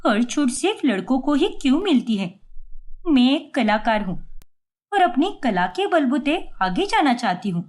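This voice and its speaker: A woman voicing an earnest young daughter-in-law in an animated family story, polite, pleading and protesting. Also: sits high.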